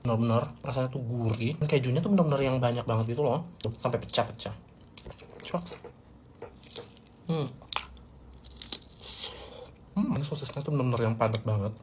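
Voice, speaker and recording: A man's voice: medium pitch, normal speed, pauses often, a little mellow, slight background noise. A man with an Indonesian accent hosting a casual mukbang, playful and exclamatory as he talks. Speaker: mukbang host. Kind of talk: casual mukbang vlog narration.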